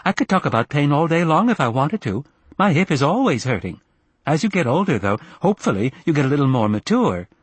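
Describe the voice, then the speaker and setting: A male reader plays a nagging elderly mother in an audiobook novel. She speaks in a raised pitch with lively swings, severe, complaining and insistent. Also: fairly quick, husky, no echo. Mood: reproachful. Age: older. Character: elderly grandmother, severe, fretful, nagging and reproachful.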